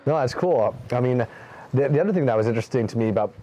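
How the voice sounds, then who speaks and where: A man's voice: medium pitch, lively intonation, fast talker, dark tone. A middle-aged man hosting an outdoor interview, talkative and enthusiastic, sharing anecdotes between his questions. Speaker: host. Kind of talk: casual interview chat. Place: outdoor terrace with garden.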